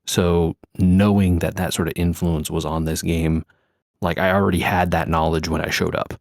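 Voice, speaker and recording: A man's voice: sits low, little pitch movement, normal speed, gravelly, darkish tone, no room sound, studio quality. A man hosting a podcast, casually explaining games with plenty of hedging asides. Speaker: podcast host. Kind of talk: casual gaming chat.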